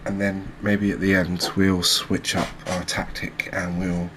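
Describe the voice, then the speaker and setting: A soft-spoken man giving relaxed, unhurried ASMR commentary on a video game, speaking close to the mic. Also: sits low. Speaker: gaming video creator. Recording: clear background noise, ambience.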